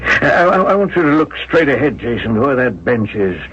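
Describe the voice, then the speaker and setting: An actor playing a wistful, haunted old man devoted to his dead beloved, in halting, emotive 1970s radio drama style. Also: mid-range voice, sing-song, fairly bright tone, slight background noise. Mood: rapt longing. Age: older. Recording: muffled 1970s radio broadcast.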